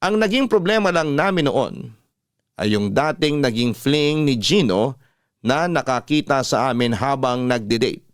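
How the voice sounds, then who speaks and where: A man's voice: medium pitch, lively intonation, a little slow, no echo, studio quality. A man with a Filipino accent reading a scripted first-person letter as a storyteller in classic radio style. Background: music.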